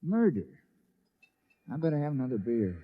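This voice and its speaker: A man playing a simple, gentle villager with a hesitant, folksy manner in a 1950s-style radio drama. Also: mid-range voice, big pitch swings, moderate pace. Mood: troubled, reluctant. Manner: hesitant, plain-spoken, folksy. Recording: dull, band-limited old radio recording.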